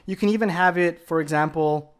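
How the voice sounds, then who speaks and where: Slightly high-pitched man presenting tech. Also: a little fast, clean tone. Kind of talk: casual demo narration. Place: indoors in a home room.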